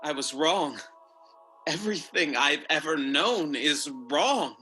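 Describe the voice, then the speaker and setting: A man's voice: a little high, animated, slow, pushed and bright, exaggerated voice. An actor playing a shaken old angel in a radio play, delivering his words with grave urgency. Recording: radio play recorded over video call, music behind.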